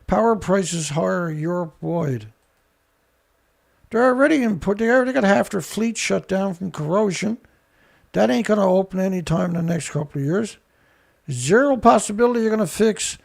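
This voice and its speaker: A man hosting a ranting commentary show, with a rough voice, blunt, angry and swearing. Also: sits high. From Canada. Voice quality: rough, gravelly voice. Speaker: show host.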